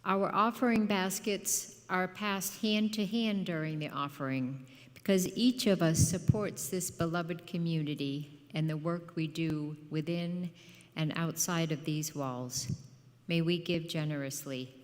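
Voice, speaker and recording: A woman with a slightly low voice reads formal announcements as a worship leader. She speaks in measured phrases, and the church hall adds a long reverb around her words. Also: steady tone. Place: church sanctuary.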